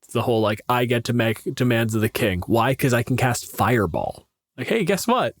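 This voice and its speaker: A man's voice: medium pitch, very animated, fairly quick, dry recording, studio quality. A man co-hosting a podcast in casual chat, explaining things in a wry, sweary way. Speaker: podcast co-host and gamemaster.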